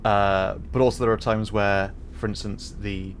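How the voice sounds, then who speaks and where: A man with a fairly low voice hosts a casual game livestream. He talks in halting phrases, pausing as he goes. There is faint noise in the background. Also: fairly even intonation. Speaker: livestream host.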